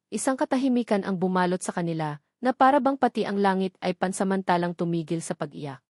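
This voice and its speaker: A woman with a Filipino accent reads a scripted love story as narrator, in a measured, emotive storytelling delivery. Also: mid-range voice, darkish tone, no room sound, studio quality.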